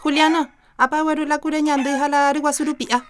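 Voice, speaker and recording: An actress playing a brisk village neighbour woman, eager to get working, in a radio soap opera. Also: high voice, level tone, natural voice. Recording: faint farmyard noise, clucking hens.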